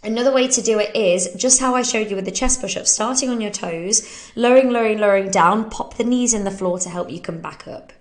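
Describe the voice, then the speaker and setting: A woman's voice: medium pitch, a little fast. Chatty bunged-up English woman coach. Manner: chatty and upbeat, laughs often. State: bunged up from hay fever. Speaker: fitness coach and podcast host. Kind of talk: casual live Q&A, talking through exercise technique.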